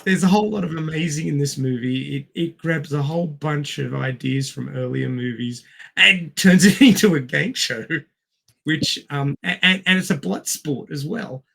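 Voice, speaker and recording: Man, slightly high pitch, gravelly fry, strained voice, casual movie podcast host, halting delivery. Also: a bit bright. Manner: halting, thinking aloud. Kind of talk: casual movie review chat.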